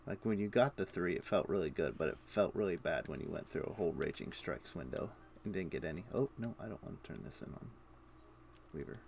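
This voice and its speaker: Gravelly, animated man chatting while gaming. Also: sits low, a little fast. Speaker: game streamer. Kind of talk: casual livestream chat, thinking aloud while gaming. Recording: faint keyboard typing and clicking noise. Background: computer keyboard typing and mouse clicks.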